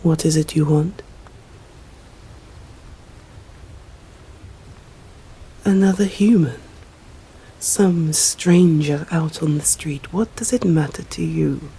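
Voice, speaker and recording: A woman with an English accent voicing a stern, proud female vampire in an ASMR roleplay, speaking formally and deliberately and often slipping into whispers. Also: low-pitched, vocal fry, dark tone, breathy edge, full character voice, rough recording. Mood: guarded, probing. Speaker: voice actor. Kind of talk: ASMR roleplay, scripted dramatic monologue.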